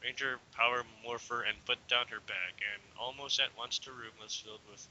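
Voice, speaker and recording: Sharp, bright-voiced young man reading aloud. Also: mid-range voice, steady tone, a little fast, a little hiss or hum. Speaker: reader. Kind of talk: amateur dramatic reading with casual asides. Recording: dull lo-fi home recording.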